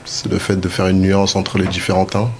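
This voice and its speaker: Man, host, low gravelly voice, halting delivery, faint background noise. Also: monotone. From France. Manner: hesitant, frequent fillers and restarts. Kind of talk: casual discussion.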